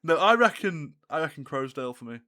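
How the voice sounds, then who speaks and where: A man's voice: on the high side, very animated, a little fast, pushed and bright. A young man with a Scouse-tinged Northern English accent, co-hosting a casual football podcast in a laid-back, agreeable way and laughing often. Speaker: podcast co-host.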